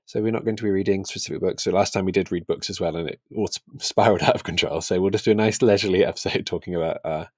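A man with a low voice talks casually about books as a podcast co-host. He is thoughtful and slightly hesitant, qualifying what he says. The sound is dry, with very little room in it. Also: steady tone, rapid-fire, hardly any pauses, no room sound. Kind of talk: casual book discussion.

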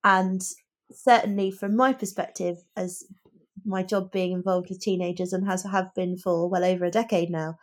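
A woman co-hosting a casual book discussion, giving her opinions in a reflective, measured way. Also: fairly low pitch, fairly even intonation. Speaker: book podcast host.

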